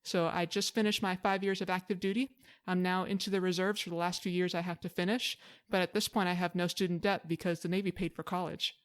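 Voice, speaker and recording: Woman, late 20s, from Hawaii; quick, clear interview guest. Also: fairly low pitch, little pitch movement. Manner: open, storytelling answers with fillers. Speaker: podcast guest. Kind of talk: conversational interview.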